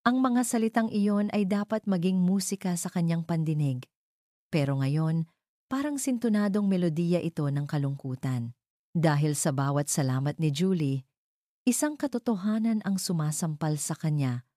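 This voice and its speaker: A woman narrating a romantic drama from a script in a measured, emotive storytelling manner. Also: lowish voice, lively intonation, mellow, no echo, studio quality.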